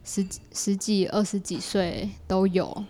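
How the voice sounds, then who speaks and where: A woman's voice: medium pitch, fairly even intonation, a little slow, mellow. Chatty Taiwanese Mandarin-speaking woman. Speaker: podcast guest, creator of an audio theatre work. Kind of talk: casual interview chat.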